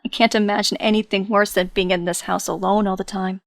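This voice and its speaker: An actress playing a lonely, grieving young woman in an audio drama, with a breathy delivery. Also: mid-range voice, flat delivery, fairly quick, no echo, studio quality, lightly acted. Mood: warm.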